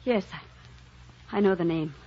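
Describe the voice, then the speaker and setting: A woman's voice: on the low side, slow pace, warm tone, breathy edge. A woman acting an anguished, self-sacrificing romantic heroine in an old radio drama, earnest and pleading. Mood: guarded. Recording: dull, muffled old radio recording.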